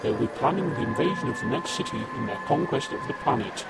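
A man's voice: medium pitch, expressive, quick pace, music in the background, lightly acted. A man voicing a troubled renegade Cyberman who speaks earnestly and questioningly.

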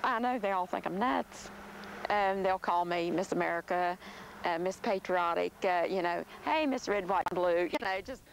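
A woman's voice: lowish voice, normal speed, vocal fry, a little hiss or hum, poor audio. A middle-aged woman telling a story in an outdoor interview, chatty and amused. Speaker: interviewee, homeowner. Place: outdoors in a yard.

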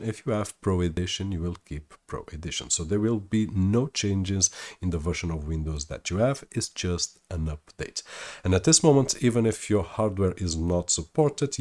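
A man, a tech YouTuber explaining a software update step by step in a steady, upbeat way. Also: low voice, normal speed. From Portugal. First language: Portuguese. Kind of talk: tutorial walkthrough. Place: room indoors at a desk.

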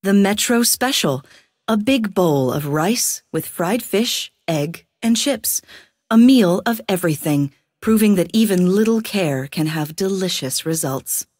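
A woman reading scripted food descriptions for a game in a sarcastic, deadpan way. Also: mid-range voice, unhurried, dry recording. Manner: dry, sarcastic deadpan delivery. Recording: dry studio recording.

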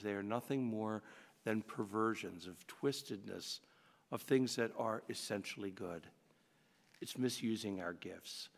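Man, priest, homily, conversational and earnest, lower voice, lingering church reverb. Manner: earnest, conversational preaching with frequent "you know". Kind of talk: homily at Mass. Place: large church sanctuary, at a lectern. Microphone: lectern microphone.

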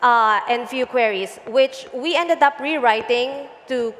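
A woman in her thirties explaining a data project in a measured way, using a headset mic on a conference stage. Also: on the high side, fairly bright tone, in a large hall. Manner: measured, explanatory, some fillers. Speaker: guest, data engineering lead. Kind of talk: onstage tech interview. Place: large conference stage before an audience.

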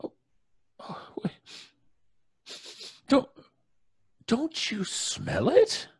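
An actor playing a doddering, nervous, kindly old judge in an old-time radio horror play, stammering and hesitant. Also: male voice, very high-pitched, wildly swooping pitch, extremely slow, breathy edge, no room sound, clean studio sound, exaggerated voice. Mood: flustered. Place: home studio on a video call. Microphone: close studio microphone.

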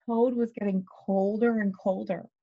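A young woman, a teacher reading a children's story aloud in an animated way and asking her listeners questions. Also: mid-range voice, a little slow. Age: young adult. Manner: animated, expressive. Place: small bedroom, indoors. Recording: slightly dull webcam audio.

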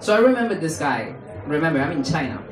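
A man's voice: fast, music in the background. A young man from Spain with a Spanish accent, performing a playful stand-up set on stage with a handheld mic in a small club. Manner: animated, playful, jumps into high mock voices for quotes. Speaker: stand-up comedian. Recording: roomy club sound from far off, audience laughing.

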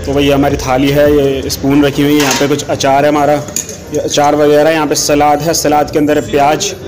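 A young man talks through a casual vlog walkthrough, chatting directly to his viewers. His voice sits a little high and is picked up by a phone held at arm's length. Music plays clearly in the background. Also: completely flat delivery, a little fast. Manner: chatty, addresses viewers as brother. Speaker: food vlogger. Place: small indoor restaurant. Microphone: handheld phone at arm's length.

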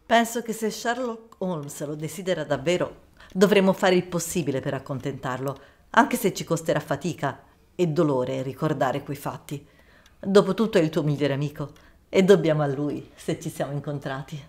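A woman's voice: low-pitched, normal speed, close to an everyday voice. A woman voicing an elderly wife who speaks matter-of-factly and corrects her husband. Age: older. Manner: gently correcting, matter-of-fact. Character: Mary Morstan, Watson's elderly wife, sharp and practical. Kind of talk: amateur audio drama.

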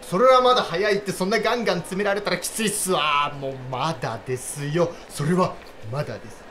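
An actor playing an excitable, lovestruck young man, cocky yet insecure, in slangy junior's speech for a comedic radio drama. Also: sits high, quick pace, a little hiss or hum. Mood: agitated, boastful.

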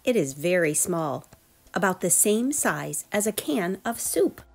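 A woman reading a children's nonfiction book aloud as storyteller, expressive and addressing young listeners directly. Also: on the low side, unhurried, vocal fry, breathy edge. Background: background music.

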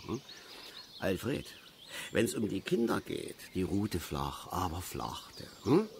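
A man's voice: on the high side, vocal fry, a bit bright, slight background noise, exaggerated voice. An actor playing an embittered, touchy middle-aged male angler who rambles and grumbles irritably in a radio play. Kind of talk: German radio play acting.

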